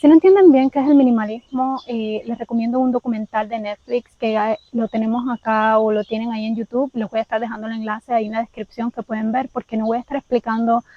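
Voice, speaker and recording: A woman's voice: fairly high pitch, fairly even intonation, quick pace, few pauses, no room sound, highs cut off. A woman from Cuba with a Cuban accent, telling her viewers her own story in a candid, reflective vlog. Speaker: vlogger.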